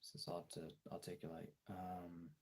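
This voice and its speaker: A man chatting casually as a streamer, thinking aloud haltingly as he searches for words and trails off. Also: sits low, completely flat delivery.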